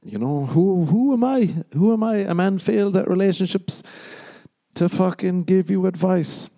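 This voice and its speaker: A young Irish man hosting a podcast, rambling and swearing as he jokes, jumping into high squeaky voices for the punchlines. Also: normal speed, gravelly, mellow. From Ireland. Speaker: podcast host. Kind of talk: casual comedic monologue.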